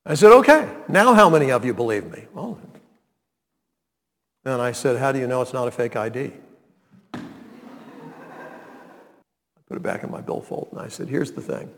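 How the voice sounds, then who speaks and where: A man, preaching a sermon and reading scripture, deliberate and emphatic. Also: medium pitch, expressive, a bit bright. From North Carolina, US. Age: 90s. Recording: long reverb of a large hall.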